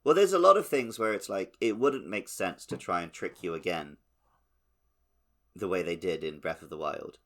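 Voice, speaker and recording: Low-voiced man in casual chat. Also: expressive, normal speed, a bit bright. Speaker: podcast co-host. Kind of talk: casual video game discussion.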